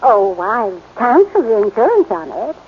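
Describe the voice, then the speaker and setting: A sweet, genteel lady of seventy in a 1940s radio play. Her voice is high, her phrasing polite and fluttery. The sound is muffled, with faint old-broadcast noise. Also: very animated, normal speed. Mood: earnest, fluttery.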